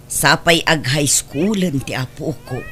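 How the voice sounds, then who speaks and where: An older Filipino grandmother in a radio drama, with a fairly low voice. She is worried and reproachful, scolding and lamenting over her family, with faint noise in the background. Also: unhurried, pushed and bright. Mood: upset, lamenting. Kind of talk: Ilocano radio drama acting.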